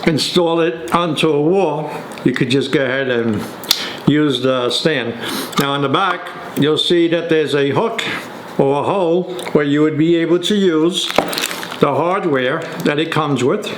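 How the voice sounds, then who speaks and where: A man giving a casual, step-by-step product walkthrough in an instructional way. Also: fairly high pitch. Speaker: product demonstrator. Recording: echoey room with faint noise.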